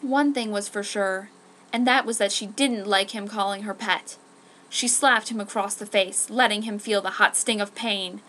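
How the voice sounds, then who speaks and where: A woman's voice: medium pitch, steady tone, normal speed, fairly bright tone, a little hiss or hum. A woman giving a dramatic scripted reading as an amateur story narrator. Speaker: amateur fan fiction narrator.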